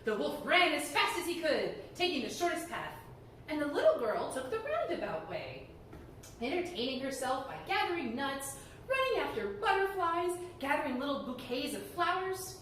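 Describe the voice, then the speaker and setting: A woman reading a fairy tale aloud to children in a library, animated and often laughing. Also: high-pitched, normal speed, slight background noise. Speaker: storyteller. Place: library room. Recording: echoey room, heard from far off.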